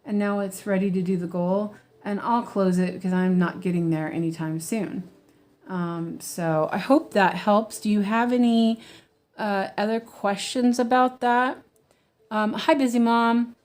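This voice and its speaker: Relaxed American woman, slow and rambling, chatting to viewers while she stitches. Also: mid-range voice. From United States. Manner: rambling, chatty, frequent ums and restarts, laughs easily. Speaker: weaving teacher. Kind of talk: casual stitch-along chat.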